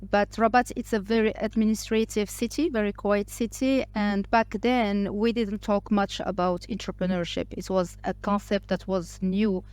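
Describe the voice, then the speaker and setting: A woman's voice: mid-range voice, fairly even intonation, normal speed, few pauses, a little mellow. Hesitant woman from Morocco, interview guest. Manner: speaks English with frequent fillers, restarts and hesitations. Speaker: podcast guest, entrepreneur. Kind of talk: casual interview talk.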